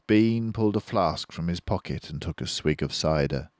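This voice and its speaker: An older man narrating a children's story in a measured, careful and suspenseful way. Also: low voice, expressive, no echo, clean studio sound. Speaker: storybook reader.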